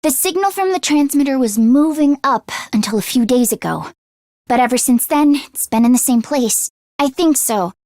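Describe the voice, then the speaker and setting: Casting: teenage girl fighter for a video game, very high voice, anxious and earnest, expressive with hesitant stammers, dry studio sound. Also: moderate pace, no echo. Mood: earnest, focused. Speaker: voice actress.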